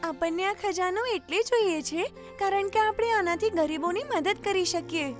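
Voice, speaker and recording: A woman's voice: extremely high pitch, normal speed, soft background music, pitched above the performer's own voice. A woman voicing a polite, brave little girl in a children's cartoon story, earnest and pleading in manner. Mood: cheerful, earnest.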